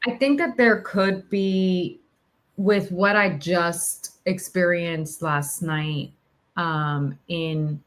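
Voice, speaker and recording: A woman's voice: fairly low pitch, drawn-out words. A woman talking reflectively in conversation, hesitant and searching for her words. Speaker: podcast host.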